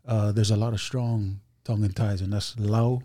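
A man talking as a podcast guest in a relaxed, chatty way, with lots of fillers and asides. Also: lowish voice, moderate pace, no room sound, studio quality. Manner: laid-back, chatty, many fillers and asides. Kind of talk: casual podcast chat.

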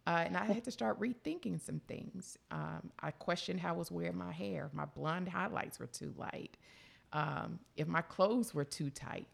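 A woman with a deep alto voice, telling her own story in a confident, measured way. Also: gravelly. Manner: confident, measured, repeats words as she builds a point. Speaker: panel guest. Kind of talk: personal storytelling in conversation.

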